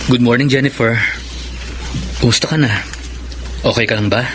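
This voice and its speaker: Man as a young adult son, medium-pitched voice. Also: moderate pace, lightly acted. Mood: tender, brotherly. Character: hurt, resentful young graduate, dutiful elder son. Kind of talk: radio drama acting. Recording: faint background noise.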